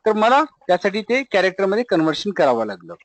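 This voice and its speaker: Casting: male teacher with a high-pitched Indian-accented voice, walking students through code, questioning and repeating. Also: big pitch swings, fast, a little hiss or hum. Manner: explanatory, checks back with questions, repeats himself. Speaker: programming teacher. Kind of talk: live classroom lesson with screen demonstration.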